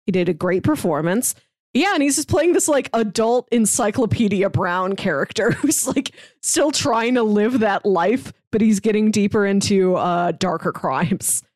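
A woman's voice: medium pitch, animated, moderate pace, darkish tone, no echo. Chatty woman co-hosting a podcast, close dry sound. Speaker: podcast co-host. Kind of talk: casual podcast chat, pitching films and reading synopses.

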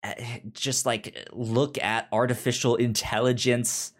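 A man chatting casually as a podcast co-host, retelling a story in a halting way and often repeating the starts of words. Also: mid-range voice, flat delivery. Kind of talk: casual chat, retelling a TV show episode.

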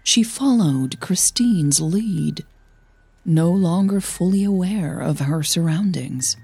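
A woman's voice: on the low side, takes it slowly, vocal fry, mellow, breathy edge, dry recording. A woman narrating a scripted audio drama as its storyteller and podcast host.

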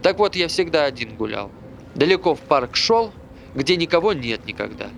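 A man's voice: a little high, sing-song, moderate pace, gravelly, fairly bright tone, a little hiss or hum, heavily acted. An actor playing a bitter, aggrieved man who hates dogs, grumbling conversationally in a naturalistic stage play. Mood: grumbling, irritated.

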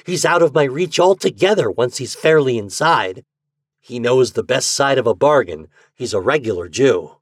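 A man voicing an eager, twitchy, unhinged man in an audiobook story, speaking with urgent, conspiratorial excitement. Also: medium pitch, normal speed, slightly rough, no room sound, studio quality, voice pushed up. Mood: growing excitement.

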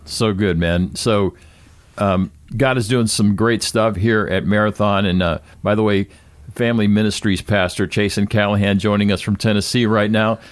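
A man hosts a church podcast in a friendly, encouraging way. His voice sits a little low, and the sound is close and dry with no room around it. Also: steady tone, normal speed, no room sound. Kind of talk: casual podcast interview.

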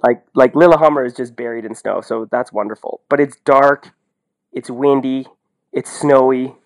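A Canadian man giving casual, opinionated sports analysis in an animated, colloquial way, as a podcast co-host. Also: medium pitch, moderate pace, vocal fry. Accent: Canadian English. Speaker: podcast co-host and ski commentator.

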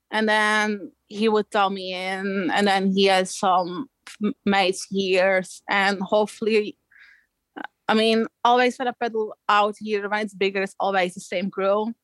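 A woman with a Belgian accent speaks as a guest in a relaxed interview. Her talk is informal and full of surf slang, told in a conversational storytelling way. The sound is dry, and her pitch stays within a fairly narrow range. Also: no room sound. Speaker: podcast guest, amateur big wave surfer.

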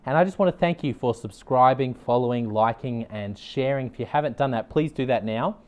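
A man's voice: medium pitch, fairly quick, darkish tone. A middle-aged Australian man hosting a leadership podcast, speaking earnestly and upbeat, and repeating key points for emphasis. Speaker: leadership consultant and podcast host. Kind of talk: direct-to-camera motivational talk.

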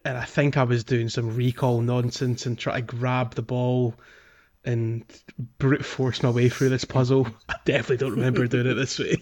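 A man's voice: medium pitch, little pitch movement, normal speed, a little mellow. A man co-hosting a podcast, chatting casually about a video game with a chuckling, self-deprecating manner. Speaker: podcast co-host.

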